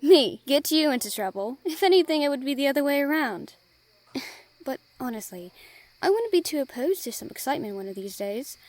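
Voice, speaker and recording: A woman playing a friendly female merchant in a fantasy audio drama, gently teasing, caring and encouraging as she talks to the listener. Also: high voice, sing-song, fairly quick, no room sound. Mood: playful, warm.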